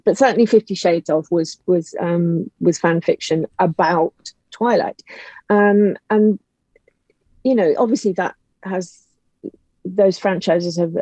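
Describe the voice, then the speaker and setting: A woman's voice: lowish voice, dark tone. A woman speaking as an interview guest, giving her opinions emphatically in a conversational way. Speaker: podcast guest, audio drama writer and actor. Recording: dull, lo-fi, roomy call audio.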